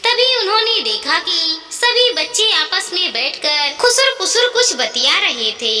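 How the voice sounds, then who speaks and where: A woman narrating a scripted family story in an expressive, dramatic storytelling manner. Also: very high-pitched, a little slow. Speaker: narrator of moral stories. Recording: echoey, long reverb.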